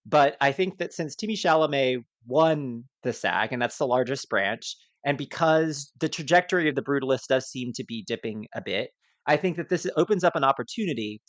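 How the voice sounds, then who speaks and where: Halting man on a dry-sounding podcast. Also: medium pitch, lively intonation, no echo. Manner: halting, repeats words as he reasons. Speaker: film podcast panelist. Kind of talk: casual awards discussion.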